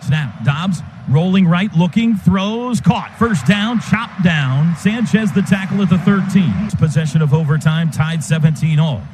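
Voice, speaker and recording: A man doing excited radio play-by-play of a football game in clipped, rapid-fire calls. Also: fairly high pitch. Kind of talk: live football commentary. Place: stadium radio booth. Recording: noisy stadium broadcast booth.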